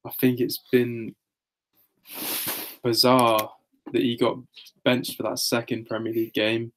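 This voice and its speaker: A man of medium pitch speaks with a flat, even intonation. He is a podcast co-host chatting casually about football, matter-of-fact and analytical in how he lays out his points. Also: measured pace, slight background noise, poor audio. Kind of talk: casual football fantasy league discussion.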